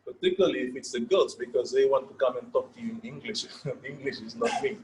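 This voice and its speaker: A man from Fiji with a Fijian accent, a native Fijian speaker, giving an informal lecture. He pauses often, repeats words and checks in with his listeners. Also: medium pitch, steady tone, background noise. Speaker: session leader.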